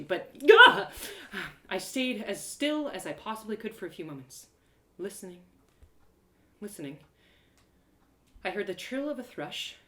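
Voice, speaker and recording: Audio drama lead: excitable young adventuress voiced by a woman, self-mocking storyteller, very animated intonation, roomy live reading. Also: medium pitch. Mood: excited, then suspenseful. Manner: theatrical, breathless exclamations, gasps, self-deprecating humour. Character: excitable young heroine narrating her woodland adventure.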